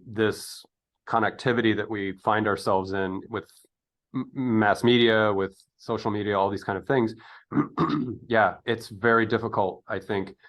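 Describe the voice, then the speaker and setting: A man in his fifties with a slightly low voice. He hosts a casual, reflective conversation and feels his way through sentences, often halting to hunt for the right word. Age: 50s. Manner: halting, searching for words. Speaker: interview host.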